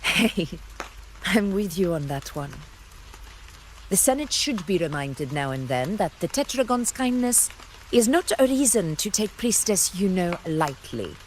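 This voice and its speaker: A woman playing a proud, unflappable warrior ruler in a video game, with measured, grand phrasing and a teasing streak. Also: fairly low pitch, big pitch swings, slight background noise. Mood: calm, commanding.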